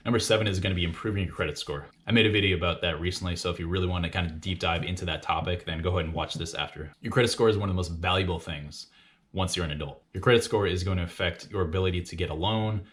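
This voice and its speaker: A man's voice: low-pitched, fairly bright tone, slight background noise. A man in his twenties hosting a personal finance video, explaining tips to viewers in a casual, instructive, emphatic way. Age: 20s. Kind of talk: explanatory list-style talk to viewers.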